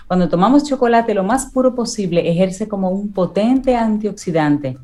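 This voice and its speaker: A woman's voice: mid-range voice, lively intonation, normal speed, warm tone. A woman co-hosting a radio show, explaining things in an informative, chatty way. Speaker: radio co-host.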